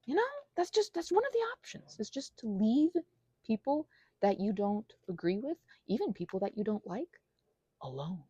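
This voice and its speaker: Woman, casual solo rambler, wandering and self-deprecating, swooping pitch, recorded close and dry. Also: big pitch swings, moderate pace, dark tone, breathy edge, no echo, lo-fi sound. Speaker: audio creator rambling to listeners.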